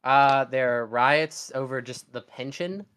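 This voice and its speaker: A man's voice: mid-range voice, measured pace, a bit bright, clean tone. A young man co-hosting a podcast, chatting casually in a rambling, sarcastic way with frequent restarts. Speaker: podcast co-host. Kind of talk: casual chat.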